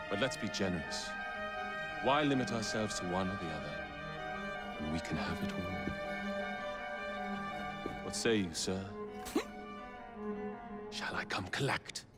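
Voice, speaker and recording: An actor playing a haughty, menacing Victorian tycoon who speaks in a measured, sardonic, theatrical way. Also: male voice, fairly low pitch. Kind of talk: video game cutscene acting. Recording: music behind the voice.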